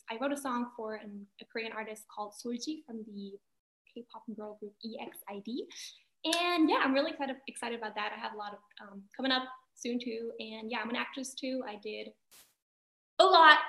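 A woman's voice: a little high, bright, forceful tone. A young woman from Austria, a native German speaker, chatting as an interview guest in a bubbly way, full of fillers and laughs. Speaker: guest, singer and actress. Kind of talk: casual interview chat. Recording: echoey room.

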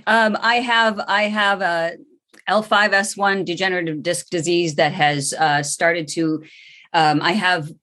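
A woman's voice: lowish voice, steady tone, a little slow, sharp tone. A woman in her fifties interviewing a guest, listening closely and chiming in with short agreements. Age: 50s. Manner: attentive, frequent short agreements. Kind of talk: casual interview talk.

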